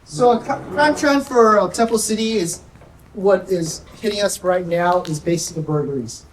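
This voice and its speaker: A woman, a sheriff's deputy, giving a community safety presentation in a soft-spoken, nervous, chatty way. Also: mid-range voice, normal speed. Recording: echoey room, distant mic, faint noise.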